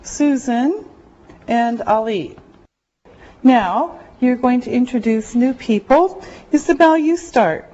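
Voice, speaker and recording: A woman English teacher speaks slowly and deliberately, her voice sitting a little high. She gives clear, careful directions to a classroom of learners. The recording is muffled and lo-fi. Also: animated, mellow. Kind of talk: classroom instruction.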